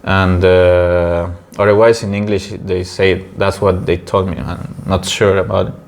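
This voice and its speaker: A man's voice: low voice, little pitch movement, a little slow, a little hiss or hum. A young man from Peru with a Spanish accent, co-hosting a casual podcast chat and talking with many fillers and restarts. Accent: Peruvian Spanish. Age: young adult. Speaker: podcast co-host.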